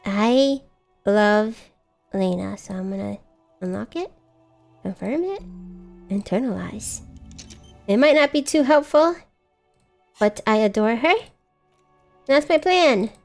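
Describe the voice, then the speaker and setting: Young woman streamer, high bright voice that jumps around, giggly, faint music behind. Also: takes it slowly, creaky, long pauses. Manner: giggly, animated, reacts loudly. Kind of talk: casual live-stream game chat.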